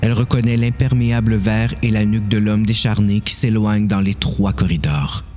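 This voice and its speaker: Guy narrating a thriller story, lowish voice, faint music behind. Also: steady tone, normal speed, dark tone. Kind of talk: dramatic scripted story narration.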